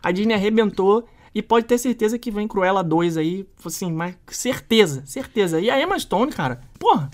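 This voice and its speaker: Animated woman reviewing films. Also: mid-range voice, moderate pace, hardly any pauses. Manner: animated, slangy, emphatic. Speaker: podcast co-host. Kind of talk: casual film review chat.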